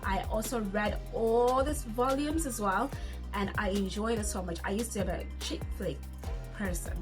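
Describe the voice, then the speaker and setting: A woman's voice: mid-range voice, moderate pace, music in the background. A woman, chatting casually in a get-to-know-me vlog as she reads each question aloud and answers it. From Zimbabwe. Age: 30s. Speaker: vlogger.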